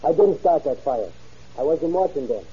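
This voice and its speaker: Stubborn immigrant man, broken English, very husky, piercingly bright, old radio drama. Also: a little high, lively intonation, fairly quick. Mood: insistent denial. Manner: broken English, emphatic short phrases. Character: agitated immigrant man, stubborn, threatening, pleading innocence. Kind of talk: old-time radio crime drama acting. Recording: dull, muffled old radio recording.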